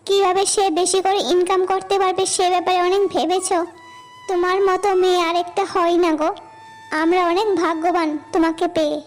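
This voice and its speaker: Cartoon elderly mother-in-law, female performer, extremely high pitch, affectionate, thankful village woman. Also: little pitch movement, brisk, faint music behind. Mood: grateful. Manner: grateful, affectionate. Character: elderly village mother praising her devoted daughter-in-law.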